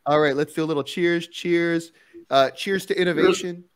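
Energetic male streamer host, 30s, nasal from a cold, studio mic, home workshop. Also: fairly high pitch, moderate pace. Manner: upbeat, energetic, gestures while talking. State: stuffy nose from a cold. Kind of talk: live stream hosting. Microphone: headphones and studio mic on boom.

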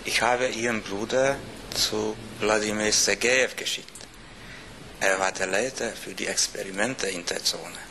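Older man, grave Russian official, radio play, lowish gravelly voice, halting, noisy ambience. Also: sharp tone. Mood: grave, guarded. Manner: halting, deliberate, ominous.

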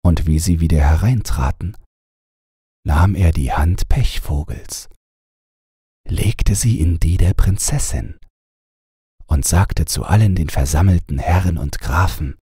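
A man reads a bedtime fairy tale as an audiobook narrator. His voice is low, very husky and dark. He tells it as a scripted story. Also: measured pace, long pauses, no room sound, clean studio sound.

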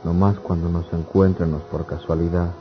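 A man acting a heartbroken young rural lover in a radio melodrama, halting and pleading. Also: deep voice, level tone, close to an everyday voice. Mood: despairing. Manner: halting, repeats words, pleading. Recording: dull lo-fi radio drama, loud music.

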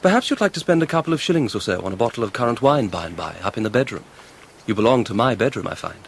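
An English actor playing a confident, patronising teenage schoolboy in a radio drama, with a smooth, lordly manner. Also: male voice, mid-range voice, animated, very fast, slight background noise, natural voice. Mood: breezy. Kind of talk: British radio drama acting.

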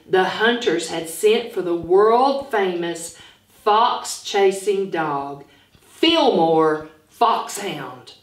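A middle-aged woman teaching phonics and telling a story to young children, animated and carefully enunciated. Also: medium pitch. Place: small classroom, indoors. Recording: roomy classroom, mic at a distance.

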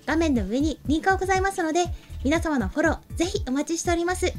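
A young woman with a very high voice co-hosts a studio show. She talks quickly, in the manner of chat and prepared announcements, with soft music in the background. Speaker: show co-host. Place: small studio, indoors. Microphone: desk microphone. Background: faint background music.

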